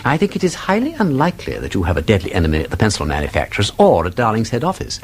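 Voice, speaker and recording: An actor playing a witty, talkative aristocratic sleuth in a radio drama, with an upper-class English accent and a playfully pedantic, theatrical manner. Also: male voice, on the low side, wildly swooping pitch, very fast, husky, full character voice. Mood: deducing briskly. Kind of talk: British radio drama acting.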